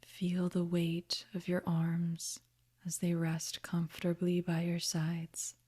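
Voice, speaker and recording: A woman leads a guided meditation in a soft, half-whispered voice. Her tone is dark and mellow, and her pitch barely moves. She gives each gentle instruction very slowly. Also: monotone, husky. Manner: gentle, soothing, unhurried instruction.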